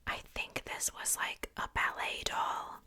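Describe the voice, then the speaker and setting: A young adult woman hosting ASMR, whispering casually in a rambling, informal show-and-tell with self-joking asides. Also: a little slow. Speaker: ASMR video host.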